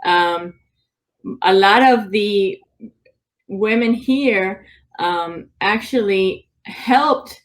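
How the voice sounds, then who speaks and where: Female guest who speaks slowly and hesitantly, on a video call. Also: medium pitch. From Cuba. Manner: hesitant, many ums. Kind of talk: conversational interview answer.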